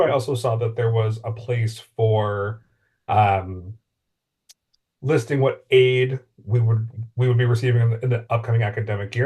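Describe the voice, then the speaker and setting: A man's voice: mid-range voice, a little mellow. A male graduate student politely asking organised questions during an online meeting. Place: virtual meeting on a video call.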